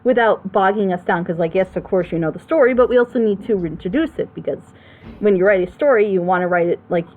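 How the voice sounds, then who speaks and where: A woman's voice: mid-range voice, fast talker. A woman hosting a group reaction show, chatting casually as she reviews an audio drama. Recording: noisy phone-like voice call.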